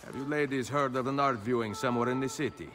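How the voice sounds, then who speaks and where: An actor playing a confident, roguish Italian adventurer in a video game, with an Italian accent. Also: male voice, mid-range voice, quick pace, lightly acted. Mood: smooth, confident. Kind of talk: video game cutscene acting. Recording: music behind the dialogue.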